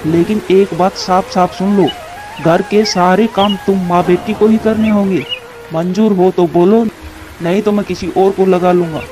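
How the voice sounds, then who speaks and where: A man's voice: high voice, fairly even intonation, normal speed, music in the background, natural voice. A man voicing a stern, stingy male crow landlord in a cartoon, talking in a demanding manner. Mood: firm, bossy. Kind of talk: Hindi cartoon dialogue.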